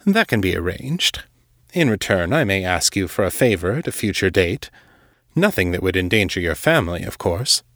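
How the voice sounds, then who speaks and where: A man voicing a powerful, condescending vampire lord in his fifties, formal and unhurried, in an audiobook drama. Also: low-pitched, big pitch swings, a bit bright, no echo, studio quality, full character voice. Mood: chiding, reassuring. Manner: formal, unhurried, smoothly chiding.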